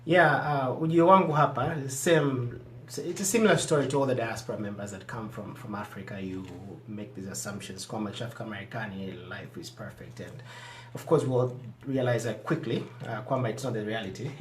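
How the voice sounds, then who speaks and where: A man's voice: medium pitch, a little hiss or hum. Reflective Tanzanian man in echoey studio. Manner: measured, reflective, many restarts. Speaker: guest. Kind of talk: interview, switching between English and Swahili.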